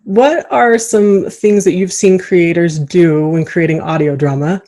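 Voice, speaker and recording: A woman's voice: fairly low pitch, unhurried, warm tone. A woman hosting a casual interview, asking questions in an encouraging, appreciative way. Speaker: podcast host, interviewer.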